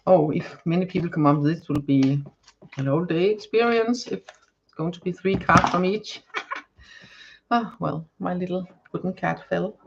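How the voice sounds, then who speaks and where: A woman with a Danish accent, whose first language is Danish, giving a live tarot reading to viewers and often pausing to search for words. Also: lowish voice, creaky, lo-fi sound, slight background noise. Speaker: tarot card reader. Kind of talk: live-stream card reading, chatting to viewers.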